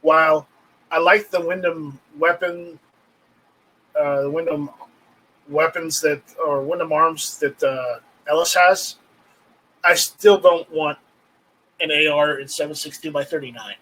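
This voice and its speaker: A man hosting a livestream, chatting casually and haltingly, often repeating words as he rambles along. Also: a little high, steady tone, bright, forceful tone, rough recording. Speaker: livestream host. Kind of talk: casual unscripted chat about gear.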